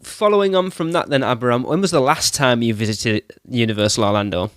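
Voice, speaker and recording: A man co-hosting a podcast, friendly and quick to laugh. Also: mid-range voice, fairly bright tone. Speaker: podcast co-host. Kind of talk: casual podcast chat.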